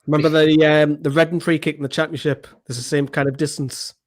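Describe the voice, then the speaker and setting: A man co-hosting a live fan stream, calling the match and yelling at the screen, swearing freely. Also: fairly high pitch, flat delivery, fast talker. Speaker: fan channel co-host. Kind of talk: excitable live match commentary.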